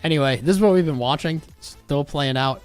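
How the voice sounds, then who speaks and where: A man's voice: on the high side, a little fast, clear, resonant tone, soft background music. A man hosting a casual crypto livestream, rambling in slang, talking straight to his chat, and his voice is wearing out. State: losing his voice.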